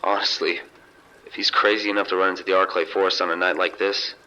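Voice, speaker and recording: A man playing a seasoned, unrattled casino security chief giving measured, detailed reports over a two-way radio in an audio drama. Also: sits low, flat delivery, bright, forceful tone. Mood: grave, composed. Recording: dull, noisy two-way radio sound.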